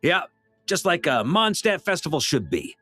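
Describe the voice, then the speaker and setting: A man's voice: a little high, sing-song, moderate pace, sharp tone, faint music behind, heavily acted. A man playing a jovial, easygoing knight commander in an anime game, hearty, informal and teasing. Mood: warm, caring.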